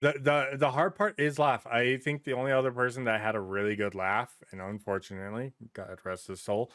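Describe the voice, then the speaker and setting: A man's voice: mid-range voice, steady tone, brisk, fairly bright tone, clear, resonant tone, dry recording, clean studio sound. A man hosting a podcast in casual pop-culture chat, speaking in an informal, sweary, emphatic way. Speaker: podcast host.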